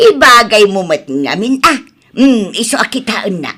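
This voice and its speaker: Slow, high-pitched meddling Ilocano mother, woman-acted. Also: big pitch swings, bright, forceful tone, slightly rough, exaggerated voice. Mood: teasing. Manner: exclamatory, teasing, theatrical. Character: nagging, meddling, dramatic older mother of grown son. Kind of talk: comedy drama voice acting.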